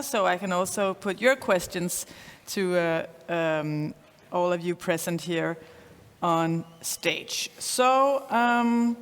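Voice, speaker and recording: Woman, panel moderator, hesitant with fillers, mid pitch, long hall reverb. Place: concert hall stage.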